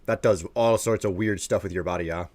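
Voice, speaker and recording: Low-voiced man chatting casually, dry close sound. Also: brisk, a little mellow, no room sound. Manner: rambling, joking, plays around with accents. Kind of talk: casual livestream chat, answering viewers.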